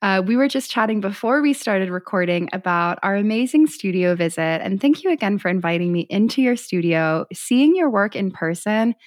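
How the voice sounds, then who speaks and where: A woman's voice: medium pitch, lively intonation, moderate pace, hardly any pauses, no echo, clean studio sound. A woman hosting an interview podcast in an effusive, emphatic, enthusiastic way. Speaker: podcast host.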